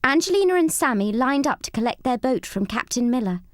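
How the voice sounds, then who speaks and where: A woman narrating a children's storybook read-along in an expressive, scripted style. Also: high voice, fairly quick, no room sound, clean studio sound.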